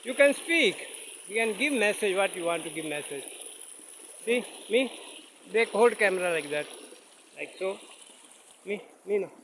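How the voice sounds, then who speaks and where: A man's voice: sits high, expressive, a bit bright, a little hiss or hum, rough recording. Urdu-speaking man vlogging by a stream. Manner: halting, simple English with many fillers. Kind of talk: casual vlog narration. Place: outdoors by a mountain stream. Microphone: phone camera, no separate mic.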